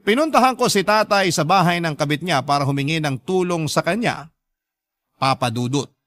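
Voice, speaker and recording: Male Filipino radio drama narrator, slightly high voice, scripted first-person storytelling, close and dry. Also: animated, normal speed, a bit bright, no echo.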